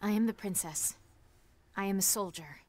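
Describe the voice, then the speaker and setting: A woman's voice: medium pitch, moderate pace, darkish tone, close to an everyday voice. A woman playing an earnest young princess soldier in a video game, speaking in a formal, stately and resolute manner. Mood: proud, resolute.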